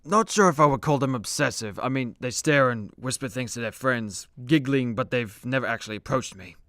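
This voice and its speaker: An actor playing an easygoing, hard-drinking immortal demigod in a superhero comedy radio play, chatting casually like a storyteller. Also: male voice, medium pitch, brisk, a bit bright, no room sound, studio quality, lightly acted. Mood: sheepish.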